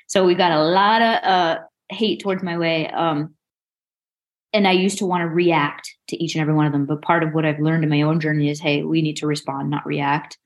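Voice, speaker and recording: A woman of forty with a low-pitched voice speaks as a coach being interviewed. Her delivery is frank and informal, like an unguarded chat. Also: fairly even intonation, a little fast. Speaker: mindset coach, interview guest.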